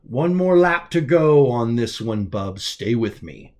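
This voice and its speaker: A man's voice: mid-range voice, lively intonation, takes it slowly, darkish tone. Male reader as loyal lieutenant, medical advisor, plain-spoken, explains to his boss, deferential, audiobook character. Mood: urgent, encouraging.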